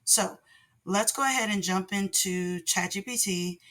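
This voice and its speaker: A woman hosting a casual tutorial vlog, hoarse from laryngitis, with a naturally deep voice and a rambling way of talking full of ums. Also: measured pace, a bit bright. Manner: rambling, with many ums and restarts. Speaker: channel host, product designer.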